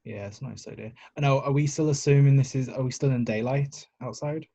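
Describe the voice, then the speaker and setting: A man's voice: medium pitch, a little fast, warm tone. A man chatting casually as a player in a tabletop game, on a slightly dull recording.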